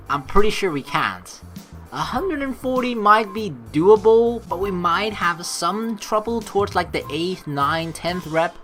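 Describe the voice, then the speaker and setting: Playful, bright-voiced woman doing game commentary. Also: fairly low pitch. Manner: playful, teasing, giggly. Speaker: let's player. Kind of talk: gaming commentary mixed with reading visual novel text aloud.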